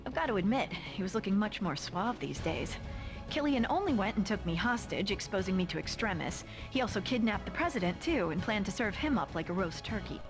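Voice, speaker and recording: Woman voicing superhero's sardonic girlfriend, game narration, wry asides, mid-pitched, music behind. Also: close to an everyday voice. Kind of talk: scripted narration.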